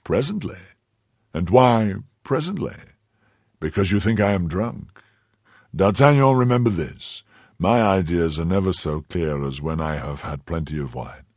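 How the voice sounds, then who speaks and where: A man's voice: low-pitched, lively intonation, unhurried, a little mellow, no echo, clean studio sound, heavily acted. A man voicing a weary, hard-drinking nobleman musketeer who speaks in a languid, dismissive way.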